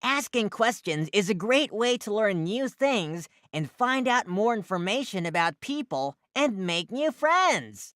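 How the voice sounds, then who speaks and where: A woman's voice: medium pitch, big pitch swings, unhurried, hardly any pauses, fairly bright tone, dry recording, clean studio sound. A woman voicing a cheerful, friendly host of a preschool learning cartoon, asking simple questions in a sing-song, teacherly way. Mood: cheerful, curious. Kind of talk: children's educational cartoon dialogue.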